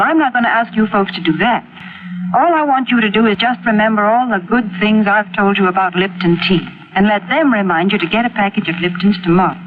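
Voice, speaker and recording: A woman announcer giving a cheerful, folksy, persuasive 1940s radio sales pitch on a scratchy old broadcast. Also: narrow, tinny audio. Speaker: commercial announcer.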